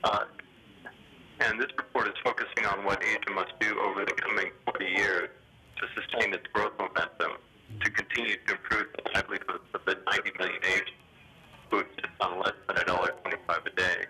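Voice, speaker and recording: A man giving formal, hesitant economic commentary in a phone interview, speaking in a measured, official way over a telephone. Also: low voice, steady tone. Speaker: development bank official being interviewed. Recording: sharp, grainy telephone line.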